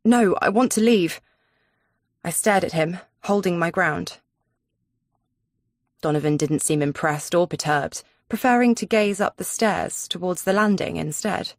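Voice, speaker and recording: A woman's voice: on the low side, a little slow, no echo, clean studio sound. A woman narrating a suspense audiobook in the first person.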